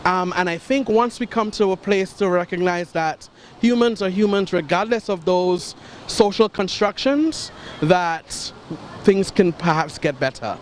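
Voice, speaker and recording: A man with a high voice answers slowly and reflectively, pausing on ums. Faint street noise sits behind him. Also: animated. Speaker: interviewee. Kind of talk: thoughtful street interview answer.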